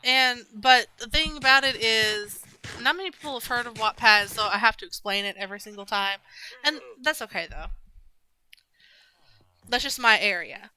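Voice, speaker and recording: A woman's voice: a little high, normal speed, bright, forceful tone, clean tone, poor audio. A young woman chatting casually and rambling while she plays a game on stream, with many restarts and fillers. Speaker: gaming streamer.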